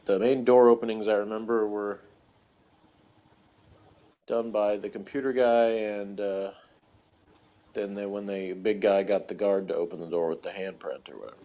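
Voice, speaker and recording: A man chatting casually as a podcast reviewer, in a rambling, halting way that often trails off. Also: fairly low pitch, fairly even intonation. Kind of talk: casual chat. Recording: dull, lo-fi voice recording.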